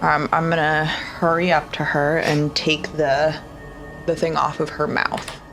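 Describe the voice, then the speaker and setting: A woman's voice: deep voice, flat delivery, a little slow, a bit bright, faint music behind, rough recording. A woman playing a tabletop roleplaying game, casually moving between in-character lines and describing her actions.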